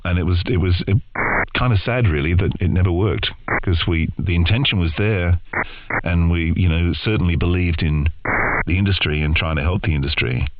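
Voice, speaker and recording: A man's voice: deep voice, moderate pace. An Australian man talking casually in an interview, telling things in a rambling, informal way. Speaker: interview guest, podcast co-host in voiceover and audio. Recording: dull lo-fi radio audio, loud noise, clicks.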